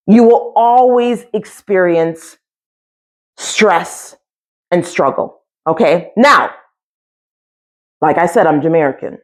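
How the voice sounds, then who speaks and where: A woman with an American accent and a fairly high voice coaches her listeners like a motivational host. Her delivery is slow and emphatic, leaning on pauses and repeated phrases, and her pitch swings widely as she drives each point home. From United States. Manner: emphatic and deliberate, with dramatic pauses and repetition. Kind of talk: motivational coaching talk to camera.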